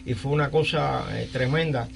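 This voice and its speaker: An older man with a Cuban accent hosting a live radio talk show, speaking haltingly and repeating phrases. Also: medium pitch, gravelly. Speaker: radio host. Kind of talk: live call-in talk, recounting and interviewing.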